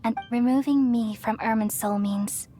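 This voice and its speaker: A woman voicing a gentle, wise ancient goddess in a fantasy game, speaking in a serene, measured, poetic way. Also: fairly high pitch, steady tone, lightly acted. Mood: calm, resolute. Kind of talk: scripted fantasy game voice acting. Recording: music behind the voice.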